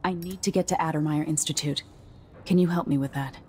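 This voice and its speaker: A woman acts as a hunted video game heroine. Her voice sits low, and she speaks in a terse, guarded, cautious way. Faint noise runs behind her. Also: fairly even intonation, brisk, a little mellow, natural voice. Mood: guarded, questioning. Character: guarded, wary woman fugitive on a secret mission. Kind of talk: video game character dialogue.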